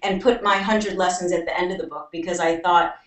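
A woman's voice: lowish voice, fairly even intonation, brisk. A young American woman from New York, an author talking at length as a guest in a video call interview. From New York, United States. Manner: talkative, explains at length. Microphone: webcam on a video call. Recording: roomy webcam audio from a distance.

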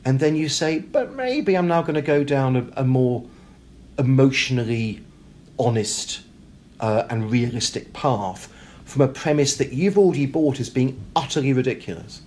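A man's voice: medium pitch, animated, moderate pace, darkish tone. Emphatic male author with noisy background. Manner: emphatic, acts out imagined quotes and questions. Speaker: author being interviewed. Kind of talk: animated, reflective conversational interview. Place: hotel. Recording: background room noise.

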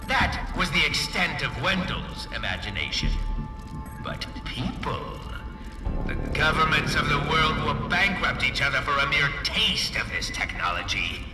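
Pompous, theatrical villain in a cavernous hall. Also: male voice, a little high. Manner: pompous, gloating, sneering. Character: pompous, gloating male mad-scientist corporate villain. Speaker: villainous director in a video game cutscene. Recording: echoey hall, distant, music behind. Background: game music.

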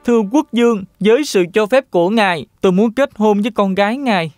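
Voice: medium pitch, fast, darkish tone, dry recording, studio quality, soft background music, natural voice. An actor playing an earnest, polite young male hero in a children's cartoon dub, speaking formally. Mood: earnest, respectful. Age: young adult.

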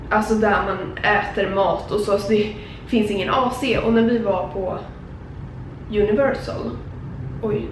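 A woman's voice: mid-range voice, a little mellow, reverberant, a little hiss or hum, rough recording. A young woman vlogging into a handheld camera, complaining with many fillers. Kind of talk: casual travel vlog, then sit-down rant to camera. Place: outdoor theme park and parking lot, then a tiled bathroom. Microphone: handheld camera at arm's length.

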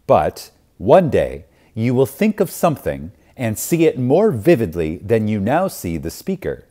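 Male lecture reader: very slow and reverent, widely varied intonation, deliberate pauses and emphasis. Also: on the low side, mellow. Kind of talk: scripted spiritual lecture reading.

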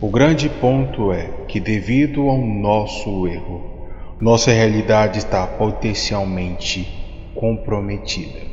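Formal alien envoy, low and unhurried, echoey hall, lo-fi. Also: male voice, darkish tone. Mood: solemn. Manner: formal, slow, ceremonious. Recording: echoey hall, dull lo-fi sound, music behind.

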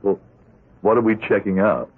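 An actor playing an evasive, buttoned-up accountant in a 1970s radio drama. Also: male voice, lowish voice, moderate pace, husky. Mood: hesitant, uneasy. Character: evasive, buttoned-up accountant leading a double life. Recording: thin lo-fi vintage radio recording.

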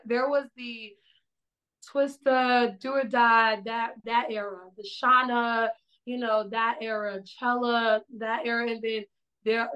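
A woman's voice: on the high side, low-quality recording. A woman, chatting casually as a songwriter guest over a video call and gesturing as she talks. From South Side of Chicago. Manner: animated, gestures a lot while talking. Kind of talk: casual interview chat. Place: small indoor room.

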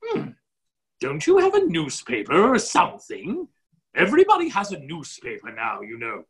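A man's voice: on the high side, huge pitch swings, moderate pace, a bit bright. Man playing a muddled, swooping, genial duke. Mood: complacent. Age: middle-aged. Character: muddle-headed, genial, blustering English duke. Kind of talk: staged play reading over video call. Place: indoor office, video call. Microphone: computer microphone on a video call.

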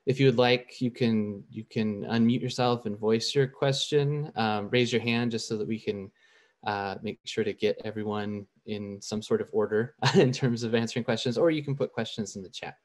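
A man's voice: fairly low pitch, fairly even intonation, normal speed. A middle-aged man moderating a lecture Q&A over a video call, easygoing, speaking through earbuds. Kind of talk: hosting a lecture Q&A.

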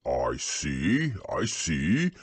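A man voicing a humble, earnest, slow-witted bear in a storybook, with a plodding manner. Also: very deep voice, huge pitch swings, slightly rough, dry recording, pitched below the performer's own voice, exaggerated voice. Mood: dreamy, wistful. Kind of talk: storybook character reading.

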